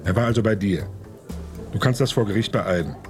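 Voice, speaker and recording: A cheeky young police assistant who jokes and needles everyone he talks to, voiced by a man. His voice sits low but bounces through a wide, animated range. Faint music runs underneath in this radio crime play. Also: a little fast, natural voice. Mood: cocky. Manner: wisecracking, cheeky, sarcastic. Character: cocky young male detective assistant, Philipp. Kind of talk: radio drama acting.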